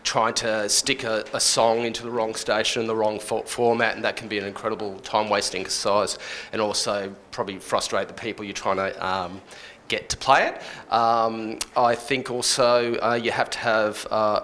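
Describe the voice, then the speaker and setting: A man's voice: on the low side, flat delivery, normal speed, a bit bright. A man in his forties giving informal advice on a panel, speaking into a handheld microphone. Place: stage in an indoor venue with a small audience. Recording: noisy live room.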